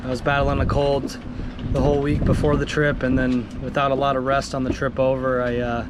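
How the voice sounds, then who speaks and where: A man with a hoarse voice from a cold, casually explaining his boat's gear step by step with many pauses and ums. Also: mid-range voice, level tone. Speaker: sailboat owner vlogger. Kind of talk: casual show-and-tell vlog. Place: outdoors on a sailboat in a marina, windy. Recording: windy outdoor camera audio. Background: wind and harbor noise.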